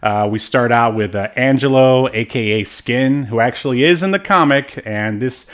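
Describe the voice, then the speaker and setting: A man's voice: medium pitch, normal speed, a bit bright. Guy podcast host rambling about movies, stop and start, ordinary mid voice. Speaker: podcast co-host reviewing films. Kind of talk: casual film discussion.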